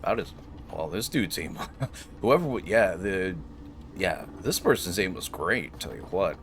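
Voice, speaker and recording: A man commentates a video game in a casual, rambling way. His voice is fairly low but very animated, with a sardonic, sweary streak. Faint background noise sits behind it. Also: a little slow. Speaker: gaming video host.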